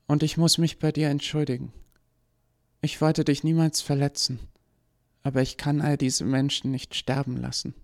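A man reading an audiobook, voicing a gentle young woman who was made by someone, speaking softly and pleadingly. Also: medium pitch, steady tone, slow pace, long pauses, voice pushed up. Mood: sad farewell.

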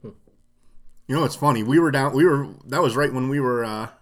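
A man's voice: medium pitch, normal speed, fairly bright tone. A man co-hosting a casual interview, asking loose, rambling questions with restarts. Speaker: podcast co-host.

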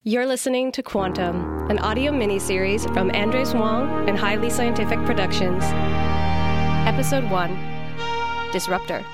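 Female intro announcer, medium pitch, scripted title read, prominent guitar music bed. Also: moderate pace. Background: loud guitar music.

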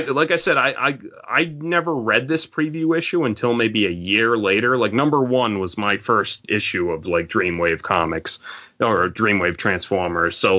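A man's voice: on the low side, bright, forceful tone, dull audio. A man co-hosting a podcast in a casual chat, rambling with lots of fillers. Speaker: podcast co-host.

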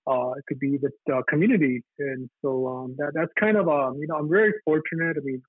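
Husky man on a tinny phone line. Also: on the high side, no room sound. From Navajo Nation. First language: Navajo. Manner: halting, with many fillers and restarts. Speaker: guest. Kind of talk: reflective casual interview talk.

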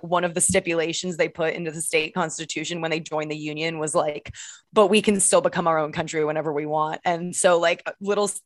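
A woman's voice: fairly low pitch, dry recording. A woman from Texas, a guest comedian in casual podcast chat, talking chattily and laughing often.

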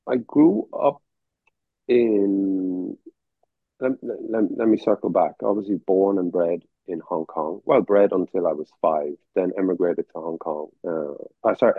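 A man's voice: sits low, no echo. A man in his forties with an Irish accent, a guest telling easygoing stories over a video call, often stumbling and repeating words. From Ireland. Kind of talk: casual interview chat. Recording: tinny dry call audio.

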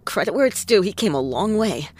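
Cocky, lively hardboiled lady detective. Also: medium pitch, a little fast, slightly rough. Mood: playful, cocky. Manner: wry, theatrical. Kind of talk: comic noir audio drama.

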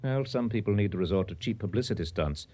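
An actor playing a haughty, disdainful business partner with snobbish dry wit in a radio comedy. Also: male voice, lowish voice, expressive, quick pace, mellow.

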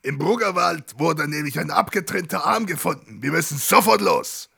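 A man playing an excitable, half-deaf, pun-loving police inspector in a comedic audio drama. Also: on the high side, normal speed, creaky, breathy edge, heavily acted. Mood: teasing. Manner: loud, punning, exclamatory.

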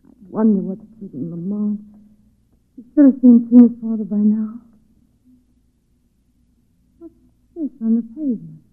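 A polite young heroine of vintage radio drama. Her voice sits a little high, hesitant and full of uneasy curiosity. The sound is narrow and phone-like. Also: lively intonation, measured pace, pauses often. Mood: curious, uneasy. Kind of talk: 1940s radio drama acting and inner monologue.

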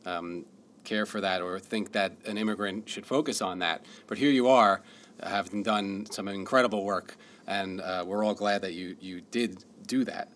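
A man's voice: sits low, fairly even intonation, moderate pace, fairly bright tone, a little hiss or hum. A man hosting a radio interview, reading a prepared intro and then asking casual questions. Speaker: host, interviewer.

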